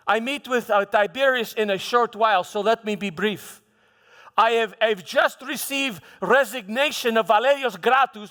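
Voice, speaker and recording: A man playing a proud, defensive Roman governor in a live church monologue, declaiming emphatically with halting repeated words. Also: high-pitched, a bit bright. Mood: brisk, confiding. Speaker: preacher performing a dramatic monologue. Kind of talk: first-person theatrical church monologue. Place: large hall, live church performance. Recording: long hall reverb.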